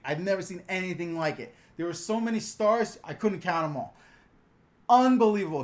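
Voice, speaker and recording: Middle-aged male host from the NY/NJ area, higher-pitched voice, chatty and jokey, runs a live viewer Q&A on a GoPro. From New York/New Jersey area. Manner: chatty, joking, laughs often. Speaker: host answering viewer questions. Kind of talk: casual live-stream Q&A. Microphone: GoPro camera.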